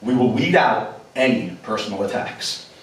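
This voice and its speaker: A man's voice: on the low side, lively intonation, normal speed. A man hosting and moderating a local political debate in a theater, with formal announcer delivery. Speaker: debate moderator and show host. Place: theater stage before a live audience. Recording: echoey theater from far off.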